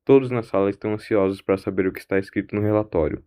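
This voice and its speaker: A man's voice: fairly low pitch, fairly even intonation, racing, mellow, smooth. A man narrating a scripted science-fiction audio drama as its storyteller.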